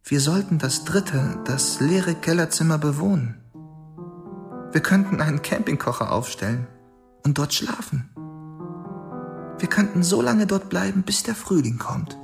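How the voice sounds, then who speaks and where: A man playing a proud, inventive schoolboy in a measured, introspective radio drama monologue. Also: a little high, expressive. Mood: intent. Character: proud, restless schoolboy, inventive older brother, solitary. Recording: clear music behind the voice.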